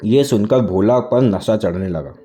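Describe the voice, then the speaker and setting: A man's voice: fairly low pitch, measured pace. A man narrating a literary story as a scripted audiobook reading. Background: soft music.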